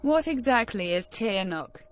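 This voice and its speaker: A woman's voice: on the high side, animated, measured pace, faint music behind. An actress playing a plain-spoken, questioning female hero in a fantasy game, speaking in short replies. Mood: inquiring.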